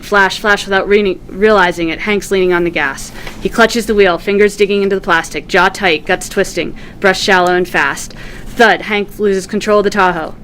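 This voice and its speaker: Lively woman reading screenplay, noisy recording. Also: on the low side. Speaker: stage directions reader. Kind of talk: scripted screenplay narration at a table read.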